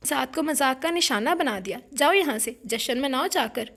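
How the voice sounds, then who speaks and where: A woman's voice: a little high, lively intonation, gravelly, a bit bright, heavily acted. A woman voicing a distraught young bride, defiant and bitter, speaking with raised emotion in an audiobook. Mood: furious.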